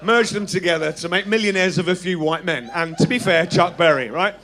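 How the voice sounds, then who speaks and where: A stand-up comedian in his thirties, working a dark club on a handheld mic. His voice sits fairly high for a man, and he talks in a sardonic, sweary way, now and then shouting lines at the audience. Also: a little fast. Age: 30s. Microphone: handheld stage mic.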